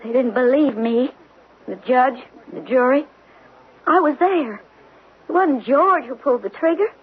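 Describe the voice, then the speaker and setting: Desperate woman, high voice, pleading and emphatic, radio drama, tinny narrow-band sound. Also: animated, unhurried, breathy edge, heavily acted. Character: desperate woman pleading for her accused lover. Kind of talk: old-time radio drama acting.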